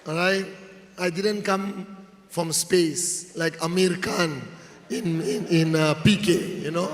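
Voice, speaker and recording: A Malaysian-accented male motivational speaker with a high voice tells stories to an audience. He takes his time, repeating phrases for emphasis and checking in with listeners. His voice rings with long reverb in a large hall. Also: fairly even intonation, takes it slowly, a little hiss or hum. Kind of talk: motivational storytelling speech to an audience.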